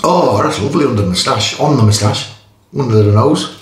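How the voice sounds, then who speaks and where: A man's voice: mid-range voice, lively intonation, fairly quick. A man in his fifties with a Geordie accent, chatting casually while he shaves in a small tiled bathroom, talking in short stop-start phrases. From Newcastle area, England. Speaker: shaving vlogger. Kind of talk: casual chat while shaving. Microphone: camera in front of face. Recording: echoey bathroom, faint noise.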